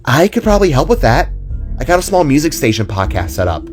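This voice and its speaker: A man's voice: mid-range voice, sing-song, fast talker, faint music behind, voice pushed up, close to an everyday voice. Man putting on a chirpy, friendly young woman, lifted voice. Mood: eager, friendly. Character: friendly, teasing young woman who runs a podcast.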